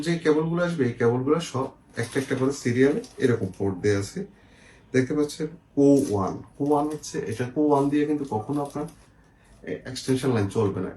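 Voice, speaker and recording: A man's voice: mid-range voice, a little mellow. A man with a Bangladeshi accent casually presenting and explaining products as a seller, often checking in with the viewer. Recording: echoey room, faint noise, clicks.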